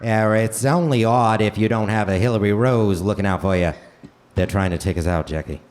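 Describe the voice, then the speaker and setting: A man playing a swaggering American president with a Boston accent and halting, uh-filled delivery in a comedic radio play. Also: on the low side, pitched below the performer's own voice, natural voice. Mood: suave, halting. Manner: halting, peppered with drawn-out ers and uhs. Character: heroic swaggering American president, folksy and hesitant, JFK parody. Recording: noisy live theater stage recording.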